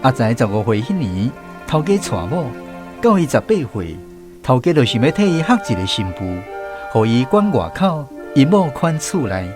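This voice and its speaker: A male storyteller with a Taiwanese accent narrates a radio drama in a folksy, drawn-out way. His pitch moves in lively swings, and music plays clearly behind him. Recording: music bed under the voice. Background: background music.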